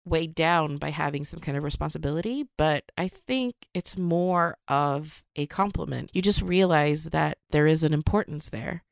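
A woman's voice: deep voice, normal speed, gravelly, hardly any pauses, smooth, no echo, clean studio sound. A woman comedian from Sweden whose first language is Swedish, talking thoughtfully in a casual podcast interview, with fillers and restarts. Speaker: comedian guest.

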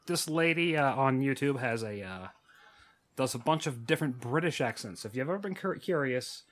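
A man's voice: medium pitch, animated, moderate pace, a bit bright. A man hosting a show in a loose, casual chat, introducing clips as he goes. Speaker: show host.

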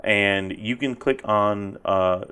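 A man with a fairly low voice hosts a relaxed podcast conversation. He heaps praise on his guest and laughs easily, with an eager, upbeat way of talking. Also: slow, clear, resonant tone. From Spanish heritage. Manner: enthusiastic, praising, laughs often. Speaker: podcast host. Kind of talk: casual interview chat.

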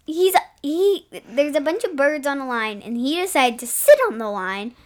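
A woman's voice: high-pitched, expressive, normal speed. A woman co-hosting a podcast in casual banter, with a playful, giggly way of talking. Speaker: podcast co-host.

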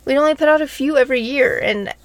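A woman's voice: high-pitched, fast talker, no echo. A young adult woman co-hosting a podcast, talking in a casual, unscripted, conversational way. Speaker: podcast co-host.

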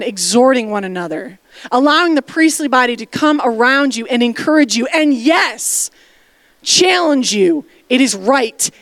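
A woman's voice: high voice, fairly bright tone, no echo. Emphatic woman pastor preaching a sermon. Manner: emphatic, call-and-response preaching with asides. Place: church sanctuary.

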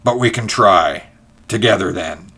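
A man's voice: sits low, measured pace, sharp tone, breathy edge, heavily acted. A man voicing an embittered, impatient feudist in a dramatic storybook reading. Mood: grim. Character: George, embittered male feudist turned grudging friend, impatient.

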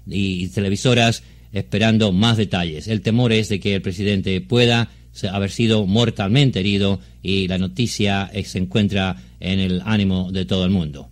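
Low-pitched male radio news reporter. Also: steady tone, brisk. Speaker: Spanish-language news reporter. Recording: 1960s shortwave radio broadcast.

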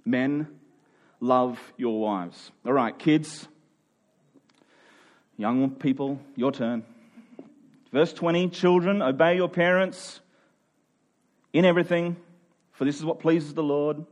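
A man in his forties preaching a sermon, earnest and emphatic, sometimes dropping to a quiet voice. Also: fairly high pitch, takes it slowly, pauses often. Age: 40s. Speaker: pastor.

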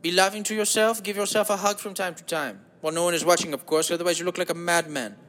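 Dude doing a goofy flirty german guy, high voice, thick silly accent, creepy and campy, rambly podcast bit. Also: steady tone, quick pace, a bit bright. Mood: encouraging, flirty. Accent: exaggerated German.

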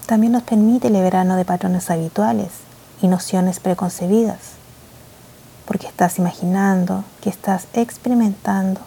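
A woman guiding a mindfulness meditation in a soft, slow, gentle way, with pauses between short phrases. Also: mid-range voice, gravelly, breathy edge, poor audio. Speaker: meditation guide. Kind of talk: guided mindfulness meditation, scripted and instructional.

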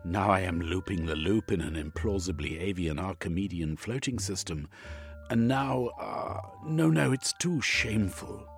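Man acting an anxious, overwrought storybook gentleman, swooping pitch. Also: expressive, normal speed, faint music behind. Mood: troubled, earnest. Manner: dramatic, exclamatory, with trailing 'eh?'. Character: Velvin, anxious, overwrought gentleman confessing his dreams. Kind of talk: audiobook character acting.

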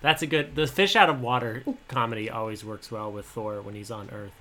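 A man in his twenties with a fairly high, boyish voice, co-hosting a casual podcast and talking candidly in a halting, soft-spoken way. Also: a crowd in the background. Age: 20s. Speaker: podcast co-host.

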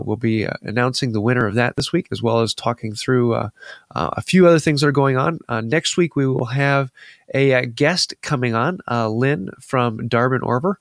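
A man's voice: mid-range voice, no room sound, clean studio sound. A man hosting a casual podcast while he has a cold, talking loosely with lots of fillers. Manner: relaxed, many "uh" fillers. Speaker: podcast host. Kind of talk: casual chat.

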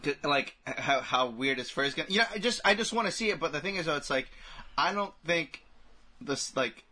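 A man's voice: fairly high pitch, expressive, fairly quick, bright, forceful tone. A man co-hosting a podcast in casual chat, informal and often checking that the listener follows him. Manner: informal, often checks in with "you know what I mean". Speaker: podcast co-host.

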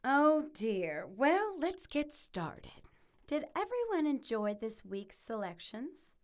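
A woman's voice: sits high, very animated, slow pace, full character voice. A woman playing a prim, gracious book club hostess in a comedic audio drama, polite and proper.

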